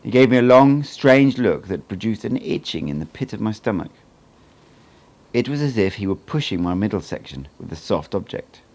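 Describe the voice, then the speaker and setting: A man reading a book aloud as an amateur audiobook narrator, in an expressive storytelling style. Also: on the low side, moderate pace.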